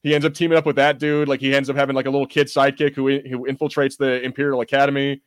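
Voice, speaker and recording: A man's voice: medium pitch, little pitch movement, rapid-fire, no echo, clean studio sound. A man hosting a podcast, chatting casually about fandom in an enthusiastic, rambling, jokey way. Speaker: podcast host. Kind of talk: casual fan discussion.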